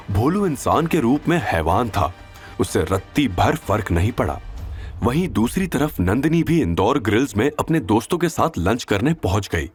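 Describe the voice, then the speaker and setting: A man narrating a scripted audio drama in a dramatic storytelling style. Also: fairly low pitch.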